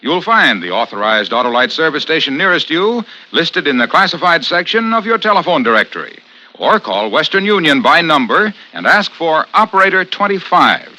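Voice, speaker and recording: A man's voice: big pitch swings, normal speed, sharp tone, rough recording. A man with an American accent delivering emphatic, polished sponsor announcements in 1950s radio style. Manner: emphatic, polished sales pitch.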